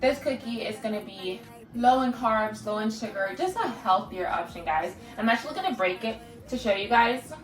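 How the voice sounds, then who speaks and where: Woman, Jamaican accent, casual cooking vlog, slightly high voice, roomy sound, heard from a little way off. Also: expressive, moderate pace. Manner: chatty, lots of "like" and "guys". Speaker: cooking vlogger. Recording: echoey room, distant mic, music underneath.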